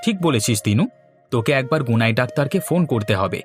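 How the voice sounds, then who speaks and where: A man's voice: medium pitch, animated, quick pace, close to an everyday voice. Man as cartoon chicken farmer, earnest, mid-range voice, scripted dialogue, faint music. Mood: worried. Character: earnest village man running a small chicken farm.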